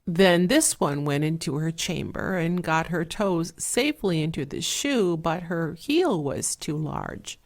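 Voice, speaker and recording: A soft-spoken woman narrating a fairy tale in a measured, relaxing way, with an 8D surround panning effect. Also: low voice, animated. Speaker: storyteller.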